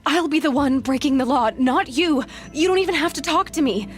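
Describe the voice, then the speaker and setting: An actress playing a stubborn, wry young outcast huntress with a vulnerable, earnest side, in a dramatic video game. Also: female voice, high voice, fairly quick, faint music behind, natural voice. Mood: pleading, upset.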